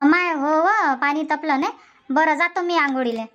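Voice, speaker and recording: Woman doing old village grandma, Varhadi Marathi accent, nagging, teasing, high voice, cartoon. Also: a bit bright, lo-fi sound. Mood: teasing, grumbling. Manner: nagging, quick comebacks. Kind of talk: dubbed cartoon comedy.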